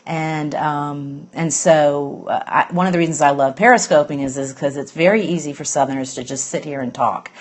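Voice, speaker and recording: A woman's voice: deep voice, normal speed, few pauses. A middle-aged woman from Alabama with a Southern accent, chatting in a rambling, easygoing way on a phone live stream as host of a news show. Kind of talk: casual live-stream chat.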